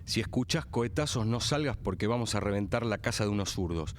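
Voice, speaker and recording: A deferential Argentine policeman played by a man plods through his report in a radio drama. His voice is fairly low and measured. Faint room noise surrounds it. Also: lively intonation, natural voice. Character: subordinate policeman, dutiful, apologetic, plodding reporter.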